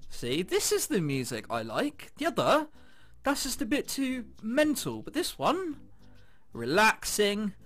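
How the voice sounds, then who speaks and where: A man's voice: high-pitched, wildly swooping pitch, a little slow, a bit bright. A man hosting a gaming video, reading a visual novel aloud with jokey, casual asides. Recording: music behind the voice. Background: game background music.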